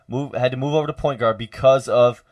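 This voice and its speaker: A young man hosting a college radio sports talk show, loud and energetic, halting with many fillers. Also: medium pitch, dry recording, muffled recording.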